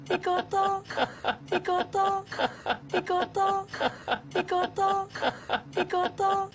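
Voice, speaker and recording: A woman's voice: very high-pitched, completely flat delivery, slow, heavily acted. An actress playing a teasing woman in a film. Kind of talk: film dialogue. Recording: film clip with music.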